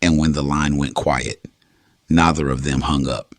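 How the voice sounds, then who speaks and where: Male narrator, very low voice, intimate bedtime storytelling, dry booth sound. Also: fairly even intonation, a little slow, no echo. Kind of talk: scripted storytelling.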